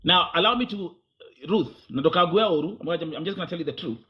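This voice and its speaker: Fast-talking Kenyan-born male host. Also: fairly high pitch, expressive, lo-fi sound. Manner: fast, pressing, repeats himself, mixes Swahili and English. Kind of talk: confrontational live interview.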